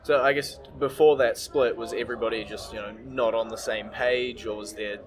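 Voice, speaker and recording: A man from New Zealand with a New Zealand accent, interviewing a player in a chatty way, often pausing on fillers. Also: medium pitch, steady tone, crowd noise. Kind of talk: casual interview.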